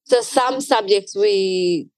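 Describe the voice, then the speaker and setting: A woman's voice: mid-range voice, takes it slowly, dry recording. A young adult woman from Sweden with a Swedish accent, chatting casually as an interview guest with many fillers and restarts.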